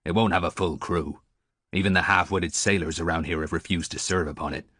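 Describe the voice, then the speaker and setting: A man's voice: sits low, steady tone, a little fast, fairly bright tone, dry recording, studio quality. A man voicing a superstitious old male sailor on a harbour beach, stiff and scornful in manner.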